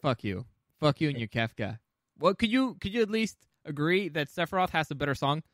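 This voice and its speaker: Brash male podcast co-host, fairly high voice, close dry sound, swears and argues casually. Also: big pitch swings, brisk, dry recording. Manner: brash, foul-mouthed, argumentative. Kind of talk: casual chat, pop culture ranking banter.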